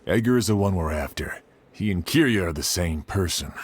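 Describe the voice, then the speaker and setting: A man's voice: low voice, lively intonation, a little fast, husky. An actor playing a firm, level-headed man in a video game, speaking in a serious, direct and concerned way. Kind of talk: scripted video game dialogue.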